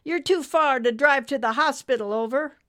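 A woman voicing a motherly, folksy nurse talking briskly over a trucker radio in an audiobook story. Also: high voice, fairly bright tone, dry recording, clean studio sound, pitched above the performer's own voice. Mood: brisk concern.

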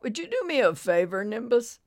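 A woman voicing a gentle, folksy older male trucker who is ailing, in an audiobook story. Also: a little high, sing-song, moderate pace, voice pushed up. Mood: fading, resigned.

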